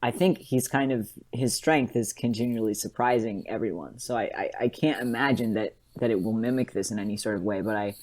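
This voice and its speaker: This is a podcast co-host with a medium-pitched voice, talking in a casual fan-chat style. The delivery is effusive and gushing, with phrases repeated for emphasis. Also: fairly quick, few pauses, a little mellow.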